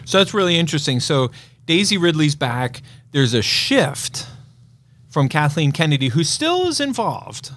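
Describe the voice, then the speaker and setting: A man's voice: on the high side, big pitch swings, normal speed, fairly bright tone. A man co-hosting a casual chat, putting in short reactions and clarifying questions. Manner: brief interjections and clarifying questions. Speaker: podcast co-host.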